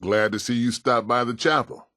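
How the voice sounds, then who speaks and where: An actor playing a kindly, world-weary pastor in a video game, with an American accent and a gentle, folksy, plain-spoken way of talking. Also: male voice, mid-range voice, fairly even intonation, normal speed, dry recording, clean studio sound, natural voice. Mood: kindly, calm.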